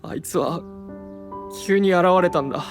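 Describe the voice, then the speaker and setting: Man playing young male knight, troubled, soft-spoken and hesitant, fantasy voice drama, music behind. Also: on the high side, normal speed, lightly acted. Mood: downcast, confessing. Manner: hesitant, subdued. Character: troubled, weary young male knight, gentle and self-doubting.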